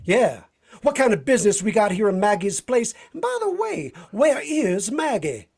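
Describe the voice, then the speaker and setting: Male actor as brash US detective, high-pitched, swooping delivery, folksy grumbling, vintage radio drama. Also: big pitch swings, moderate pace, gravelly, a little mellow, husky, exaggerated voice. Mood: curious, grumbling. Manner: folksy, drawling slang, complaining. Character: brash, folksy American detective, restless and needling.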